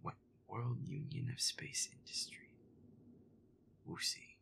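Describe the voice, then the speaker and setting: A man's voice: slow, bright, forceful tone. Muttering, whispery man grumbling through a game. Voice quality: breathy, often whispered. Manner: grumbling, irritable, foul-mouthed. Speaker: gamer playing a horror game in character. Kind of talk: gameplay commentary, muttered roleplay audio.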